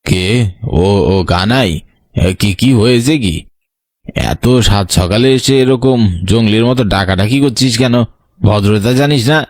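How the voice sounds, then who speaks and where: A man playing a greedy, short-tempered older brother, the gruff, contemptuous villain of a horror audio story. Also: fairly low pitch, measured pace, pauses often, dry recording, clean studio sound, natural voice. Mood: irritated.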